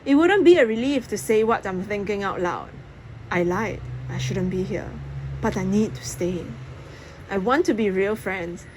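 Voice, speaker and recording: A woman's voice: medium pitch, animated, normal speed, slight background noise, poor audio. A woman reading a first-person story aloud, expressively and dramatically. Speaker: audiobook reader.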